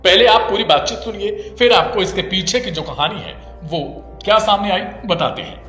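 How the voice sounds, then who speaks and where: A man's voice: high-pitched, moderate pace, pushed and bright, roomy sound, in a large hall, soft background music. A man presenting scripted news narration as a news presenter.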